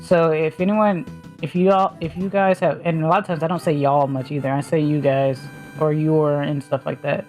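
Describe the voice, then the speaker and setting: A woman's voice: low-pitched, flat delivery, moderate pace, darkish tone, soft background music. A woman with a mild Southern US accent, chatting casually on a stream and rambling as she thinks aloud with lots of fillers. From Southern United States.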